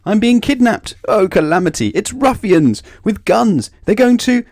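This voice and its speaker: Storybook role: little nervous man, high, shrieky, wildly animated, always on the edge of panic. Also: no room sound, clean studio sound. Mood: hysterical alarm. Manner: shrieking, exclaiming, quick to panic. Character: timid, panicky little man, terrified of everything.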